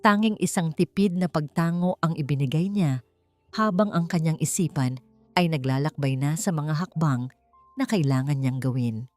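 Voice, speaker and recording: A woman with a Filipino accent narrating a scripted dramatic story in a solemn, emphatic, deliberate way. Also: low-pitched, darkish tone. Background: background music.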